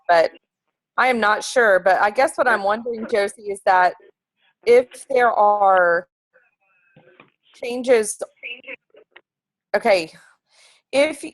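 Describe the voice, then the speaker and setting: A woman's voice: mid-range voice, dry recording, poor audio. Frank woman with a dry, close sound. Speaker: call participant. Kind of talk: casual work call discussion. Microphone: conference call.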